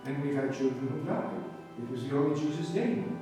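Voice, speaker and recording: Man acting a gentle priest in an echoing hall. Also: a little high, a little fast, close to an everyday voice. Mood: wistful, sad. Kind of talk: old-time radio drama acting. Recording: very echoey hall, distant mic, music.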